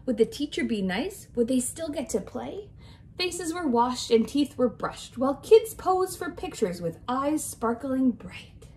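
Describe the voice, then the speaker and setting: A woman in her twenties, a kindergarten teacher, reading a picture book aloud to young children in an expressive, sing-song way. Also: high voice, a little hiss or hum. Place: small indoor room with decorated walls.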